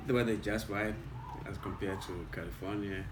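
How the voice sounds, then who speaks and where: A man from Kenya speaks with a Kenyan accent and a fairly low voice, explaining things step by step in an unhurried way. He sounds set back from the mic. The room is echoey, with a noticeable noise bed underneath. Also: steady tone, distant mic. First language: Luo. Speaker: interviewee. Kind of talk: casual interview answers.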